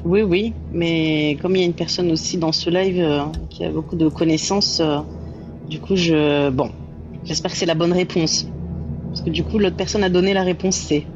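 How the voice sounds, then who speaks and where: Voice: medium pitch, steady tone, moderate pace, lo-fi sound, over music. A quiz contestant on a live stream, talking casually and hesitantly. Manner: hesitant, many fillers.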